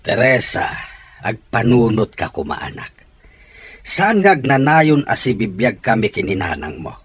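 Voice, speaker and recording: A man's voice: medium pitch, sing-song, unhurried, a bit bright, husky, full character voice. A man playing a middle-aged father in a radio drama who lectures his daughter firmly and earnestly. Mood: stern. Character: stern but caring middle-aged father Primo.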